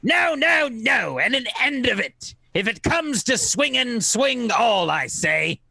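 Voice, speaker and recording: A man playing a gruff, ailing old pirate sea captain who craves rum, pleading desperately in salty seafaring speech for a radio play. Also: extremely high pitch, big pitch swings, takes it slowly, pushed and bright, dry recording, pitched above the performer's own voice, full character voice. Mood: furious.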